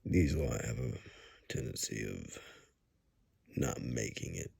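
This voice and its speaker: A man playing a gruff, wry forest ranger and monster hunter in an ASMR roleplay, speaking in a hushed, halting way with dry humor. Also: lively intonation, exaggerated voice. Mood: grim, dry. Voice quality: very deep, gravelly, husky. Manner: slow, halting, hushed, dryly joking. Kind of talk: ASMR roleplay, one-sided conversation.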